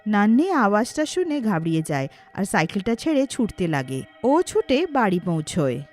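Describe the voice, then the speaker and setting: A woman's voice: medium pitch, very animated, normal speed, a little mellow, soft background music. A woman narrating a scripted cartoon ghost story.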